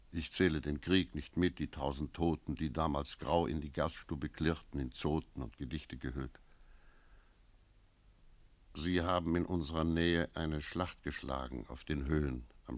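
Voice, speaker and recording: An actor playing a brooding village innkeeper, narrating his memories in a measured, lyrical, solemn manner for a radio play. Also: very deep voice, dry recording.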